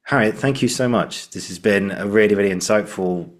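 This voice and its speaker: A man's voice: lowish voice, fairly quick. A man hosting a conversational interview, effusive and given to repeating himself. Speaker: podcast host.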